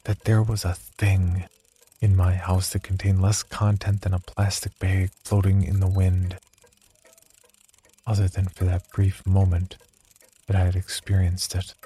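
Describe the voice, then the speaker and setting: An actor playing a haunted, unravelling man confessing dark thoughts in a horror audio drama diary, with brooding, measured delivery. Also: sits low, monotone. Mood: uneasy, horrified. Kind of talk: horror audio drama monologue, first-person diary narration.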